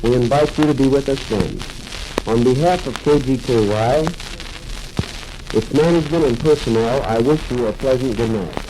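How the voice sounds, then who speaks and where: A man's voice: medium pitch, moderate pace. A man working as a local radio announcer, reading a formal, scripted old-time station sign-off. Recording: faint background noise.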